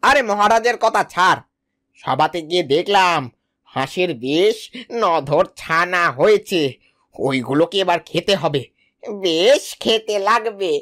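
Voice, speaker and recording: A man's voice: sits high, lively intonation, a little slow, fairly bright tone. A man voicing a sly, scheming male fox in a cartoon, speaking in a smug, crafty way. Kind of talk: cartoon voice acting.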